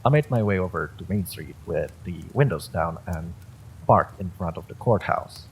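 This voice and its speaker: Male narrator, deep pitch, creaky fry, scripted horror storytelling, slight background noise. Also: lively intonation, normal speed, rough recording.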